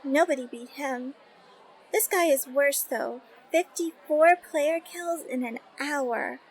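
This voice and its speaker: A woman plays a teenage girl gamer in an audiobook drama with a very high voice. She is bubbly and impatient. Her delivery is emphatic, brash and playfully teasing. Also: slow pace, a faint crowd, a little hiss or hum, low-quality recording. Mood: urgent, explaining. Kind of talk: audiobook character acting.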